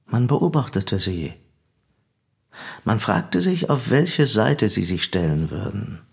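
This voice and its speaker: A formal, probing investigator, questions in a low-leaning, creaky voice. He is insistent and insinuating, as in a radio drama interrogation. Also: big pitch swings, slow pace, heavily acted.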